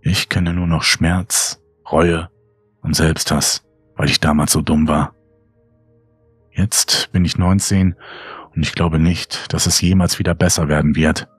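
A man reading a horror story as scripted dramatic audiobook narration, grave, measured and brooding. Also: rumbling low, level tone, husky, no room sound. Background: faint music.

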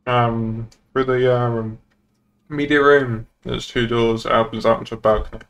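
A man's voice: medium pitch, steady tone, moderate pace, gravelly, a bit bright. A young man playing a police boss on a roleplay game stream, chatting casually in an understated, polite but pointed way. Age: young adult. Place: indoors at a streaming desk.

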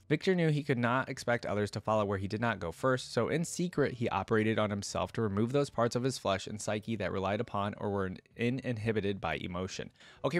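A young man hosting a reaction video, reading lore aloud and adding casual, expressive commentary into a close desk microphone. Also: medium pitch, dry recording. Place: indoor desk setup at home.

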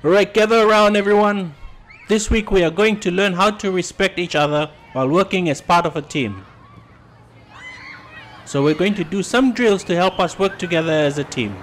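An actor playing an upbeat, encouraging and instructive youth football coach in an animated comic. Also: male voice, sits high, moderate pace, a little hiss or hum, close to an everyday voice. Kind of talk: animated motion comic acting.

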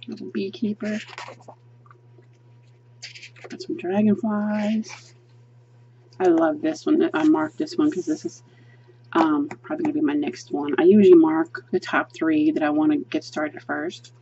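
A woman's voice: sits low, moderate pace, mellow, lo-fi sound, a little hiss or hum. Chatty woman gushing over a coloring book. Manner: chatty, gushing, thinks aloud with many ums. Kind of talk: casual book flip-through review. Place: indoors at a table, hands seen flipping pages.

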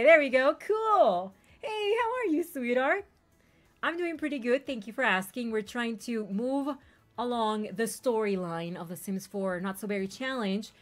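A woman streamer whose first language is not English, chatting excitedly with raiders and telling stories quickly. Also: a little high. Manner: excitable, bubbly, quick storytelling. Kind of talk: casual stream chat.

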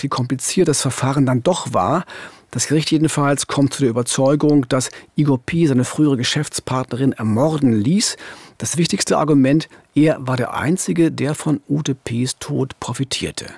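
A man's voice: mid-range voice, moderate pace, darkish tone, breathy edge, no echo. A man in his fifties telling true crime cases in a conversational, narrated podcast style as host. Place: small dark radio studio, indoors. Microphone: studio microphone on a boom arm, close.

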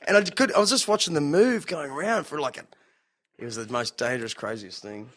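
An Australian man hosting a podcast, chatting in a halting way and often repeating himself. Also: a little high, a bit bright. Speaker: podcast host. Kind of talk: casual chat.

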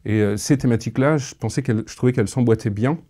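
A man in his thirties speaking reflectively in an interview, halting and thoughtful, on a lapel mic. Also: medium pitch, dark tone. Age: 30s. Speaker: interviewee, audio fiction creator.

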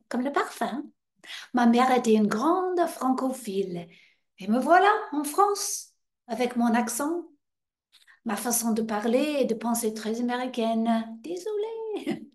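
A woman in her sixties with an American accent, performing a playful monologue as a chatty guide welcoming visitors, with teasing asides. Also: on the high side. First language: English. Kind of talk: theatrical monologue screen test. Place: indoor stairwell by a wrought-iron railing.

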